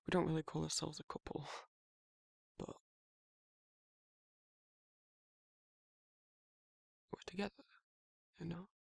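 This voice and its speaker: A man playing a guarded but soft-hearted werewolf in an intimate ASMR roleplay, talking in a halting, informal way with a husky, gravelly voice. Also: a little high, steady tone, dry recording, studio quality, full character voice. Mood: bashful, candid. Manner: hesitant, halting, rambling, informal. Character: guarded, soft-hearted male werewolf shyly confessing affection.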